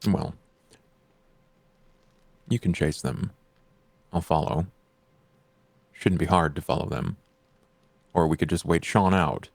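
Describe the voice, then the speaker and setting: A man voicing a calm, deferential manservant with a measured, dryly patient way of talking in a tabletop roleplay. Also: sits low, vocal fry, no room sound, heavily acted.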